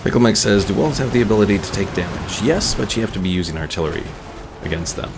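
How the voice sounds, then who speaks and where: Low, gravelly man streaming a game. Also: fast, noisy background. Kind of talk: casual gameplay commentary, reading chat. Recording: game music behind the voice. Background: video game music.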